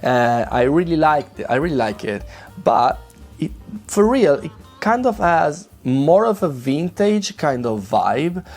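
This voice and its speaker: Italian-accented guy, gravelly and a bit hoarse, easygoing gear talk with stops and restarts, faint music behind. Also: medium pitch, darkish tone. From Italy. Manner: hesitant, many restarts, friendly. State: voice rough from a flu. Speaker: guitar gear reviewer. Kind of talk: casual demo chat to camera.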